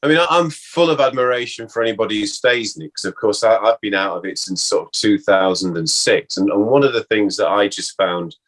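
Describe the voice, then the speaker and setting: A man's voice: on the low side, a little fast, few pauses, a bit bright, poor audio. A man talking candidly and wryly in a casual, reminiscing conversation as an interview guest. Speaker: interview guest, former actor.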